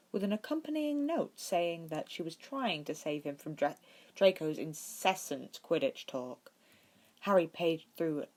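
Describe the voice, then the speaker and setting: A woman narrating a story from a script in an expressive way, as an amateur audiobook reader. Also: on the low side. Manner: acts out dialogue with expression.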